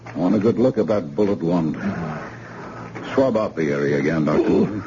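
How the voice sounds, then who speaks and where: A brisk, self-assured surgeon fires off orders with a wry edge. His lowish voice swings lively in pitch. The sound is muffled old radio with clear hiss. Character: brisk, cocky male hospital doctor. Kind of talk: 1950s radio drama acting.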